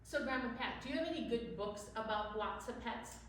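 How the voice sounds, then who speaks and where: A woman's voice: a little high, brisk. A woman co-hosting a children's storytime, chatting casually and prompting her partner. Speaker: storytime co-host. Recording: echoey room, distant mic, faint noise.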